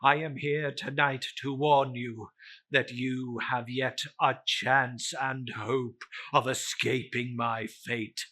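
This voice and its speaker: Tormented male ghost, dramatic storybook reading, slow, piercingly bright, wailing, ominous, solemn. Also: mid-range voice, flat delivery, pitched below the performer's own voice. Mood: mournful warning. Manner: wailing, drawn-out, ominous declamation. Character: tormented ghost of a dead businessman, anguished, solemn warner.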